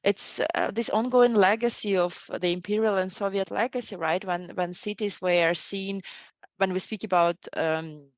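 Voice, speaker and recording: A woman's voice: medium pitch, fairly even intonation, moderate pace, no echo, muffled recording. A middle-aged woman, a guest historian, answering interview questions with many fillers and restarts.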